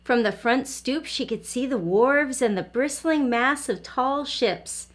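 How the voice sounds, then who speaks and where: A woman hosting an early learning lesson, speaking to small children in simple, encouraging phrases and questions. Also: high voice. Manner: encouraging, asks questions and pauses for young viewers. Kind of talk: children's lesson and storybook read-aloud.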